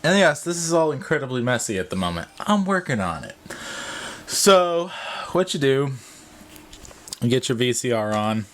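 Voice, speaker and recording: A man in his thirties giving a casual vlog demonstration, unhurried and offhand as he thinks aloud. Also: medium pitch, sing-song, a bit bright. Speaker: vlogger. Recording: clear background noise, clicks.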